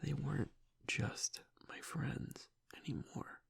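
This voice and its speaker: A man playing a tough young werewolf pack alpha broken by grief in an intimate audio roleplay, halting and choked up, with a breathy, raspy voice. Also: natural voice. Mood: burdened, strained. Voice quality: breathy, raspy whisper with gravelly breaks. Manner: halting, fragmented, swearing under strain. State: choked up, grieving.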